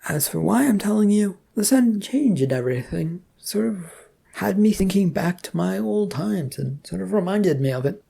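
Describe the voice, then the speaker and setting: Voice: mid-range voice, expressive, normal speed, vocal fry, mellow, breathy edge, voice pushed up, heavily acted. A storyteller voicing a chatty, cheerful old man who breezily claims to be a prophet in an audiobook. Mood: knowing. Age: older. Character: old George, slow cheerful eccentric self-proclaimed prophet.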